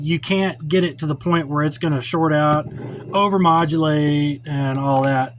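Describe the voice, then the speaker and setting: A man giving an informal hands-on technical walkthrough as a tutorial presenter, talking in a folksy, blunt and opinionated way. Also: fairly high pitch. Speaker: presenter of a radio repair tutorial.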